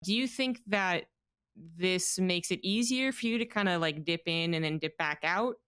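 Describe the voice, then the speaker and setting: Woman podcast host asking curious questions, low smooth voice. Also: normal speed, a bit bright, no echo, clean studio sound. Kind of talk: interviewing a guest.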